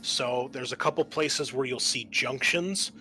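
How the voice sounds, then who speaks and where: A man acting as game master, explaining a tabletop roleplaying game in a casual, halting way. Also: mid-range voice, sharp tone, a little hiss or hum.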